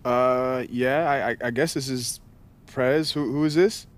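An American actor playing an eager, easygoing young college basketball star in a video game, casual, earnest and a bit halting. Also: male voice, mid-range voice, steady tone, close to an everyday voice. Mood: puzzled. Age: young adult. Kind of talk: naturalistic video game cutscene acting.

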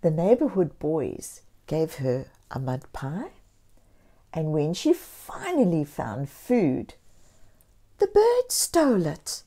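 Woman reading to children, very slow and animated. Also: low-pitched. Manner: animated, deliberate, with encouraging asides to young listeners. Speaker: children's storyteller and channel host. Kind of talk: expressive picture-book read-aloud for young children.

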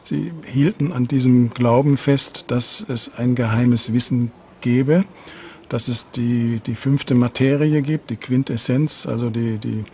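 A man interviewed as an expert, explaining and sometimes pausing to search for words. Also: mid-range voice, fairly even intonation, darkish tone, a little hiss or hum.

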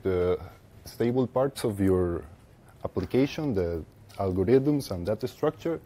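A young man with a Costa Rican Spanish accent giving a technical presentation as a conference speaker, haltingly, with pauses mid-phrase. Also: fairly low pitch, warm tone. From Costa Rica. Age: young adult. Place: lecture room with seated audience, indoors.

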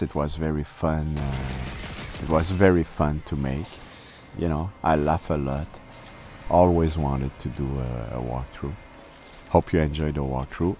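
A man's voice: very deep voice, noisy background. A man hosting his game channel, giving a playful, self-mocking spoken review. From Canada. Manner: playful, self-mocking, with sudden exaggerated shouted bursts. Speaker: host of game walkthrough channel.

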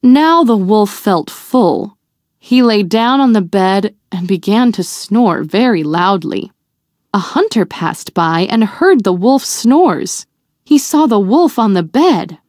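Woman, children's read-aloud narrator, slow pace, lively swooping intonation, expressive, deliberate. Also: medium pitch, no room sound, clean studio sound. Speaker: children's storyteller.

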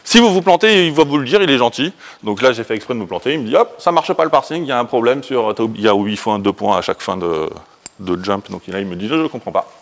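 A man, giving an informal technical talk as a conference speaker, in a casual, colloquial, hurried manner. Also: medium pitch, expressive. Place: indoor presentation room, standing by a projection screen.

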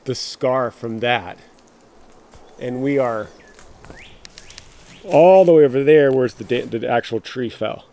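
An excitable man walks through rural woods with a handheld camera, talking to his viewers in bursts and restarting his sentences. His voice sits in a middle pitch. Faint outdoor noise stays behind him. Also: slow, pauses often. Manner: excitable, breaks off and restarts, addresses viewers as guys. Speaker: vlogger showing storm damage. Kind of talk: excited walking vlog to camera. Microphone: handheld camera while walking.

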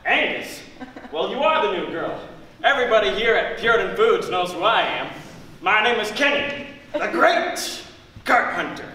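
A young man playing a boisterous, boastful store cart hunter in an amateur stage comedy, loud and theatrical. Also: high-pitched, big pitch swings, moderate pace, full character voice. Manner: loud, theatrical whooping and bragging. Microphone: distant camera. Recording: echoey stage recorded from far off, faint noise.

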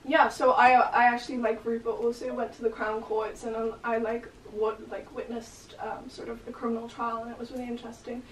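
A woman's voice: on the high side, flat delivery, a little fast, few pauses. A young woman law student speaking hesitantly with many fillers in a casual interview, recorded by a distant camera in a small room. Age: young adult. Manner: hesitant, many likes and ums. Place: small room with bookshelves, indoors. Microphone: far camera. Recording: roomy sound from a distant camera, faint noise.

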